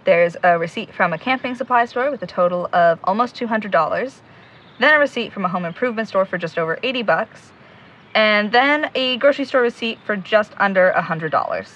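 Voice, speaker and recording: An actor playing a determined, easily exasperated young woman leading a search, with brisk, no-nonsense delivery in a naturalistic audio drama. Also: mid-range voice, lively intonation, a bit bright, highs cut off. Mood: focused.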